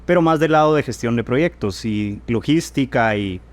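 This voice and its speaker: A man from Guatemala with a Guatemalan accent, an economist guest speaking calmly and matter-of-factly. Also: medium pitch, moderate pace. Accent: Guatemalan Spanish. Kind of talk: podcast interview.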